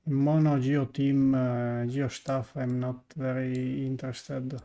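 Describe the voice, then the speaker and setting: A game streamer giving casual, halting live commentary with mumbled asides. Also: mid-range voice, level tone, warm tone.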